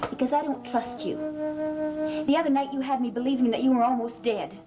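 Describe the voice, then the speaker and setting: A woman plays a guarded young woman in an old gothic soap opera. Her voice is fairly high, plain and earnest, under loud music. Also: a little fast, natural voice. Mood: guarded, dismissive. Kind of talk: 1960s-70s gothic TV soap acting.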